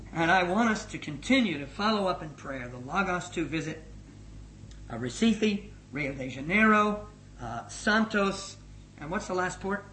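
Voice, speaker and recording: A middle-aged man giving a spoken report as a missions leader to a gathering, energetic and emphatic, swinging between loud and hushed. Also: high voice. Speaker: missions leader addressing his organisation. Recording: echoey room with faint noise.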